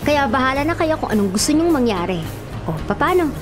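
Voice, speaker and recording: A breezy, bossy young Filipino matchmaker in a radio drama. Her voice is fairly high and swings playfully as she teases. Music runs underneath. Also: sing-song, a little fast. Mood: mischievous. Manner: breezy, teasing, exclamatory.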